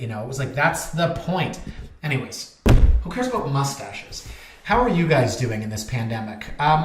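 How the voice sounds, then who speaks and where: Brief: realtor in his thirties, chatty and self-mocking, mid pitch, recorded in a roomy space. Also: slight background noise. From Canada. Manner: chatty, joking, self-deprecating. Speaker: realtor and vlog host. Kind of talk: casual rambling talk to camera. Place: office.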